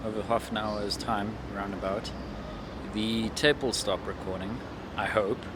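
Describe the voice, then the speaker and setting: A young adult man talking casually to himself through a demonstration, thinking aloud in short, halting fragments. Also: lowish voice, steady tone, background noise. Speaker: amateur vlogger.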